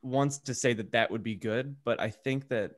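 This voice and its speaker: A man co-hosting a podcast, talking casually in an informal, halting and self-deprecating way. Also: medium pitch. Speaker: podcast co-host. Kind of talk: casual panel discussion.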